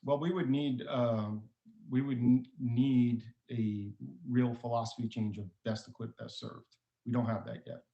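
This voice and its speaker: Man, hearing witness, medium pitch, explanatory, roomy muffled recording. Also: fairly even intonation, unhurried, vocal fry. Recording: echoey, dull lo-fi room audio.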